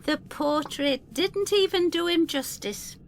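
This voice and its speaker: A woman's voice: extremely high pitch, takes it slowly, vocal fry, slight background noise, exaggerated voice. An actress playing a vague, spaced-out woman in her seventies, wistful and deluded, in an audio drama. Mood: dreamy, proud.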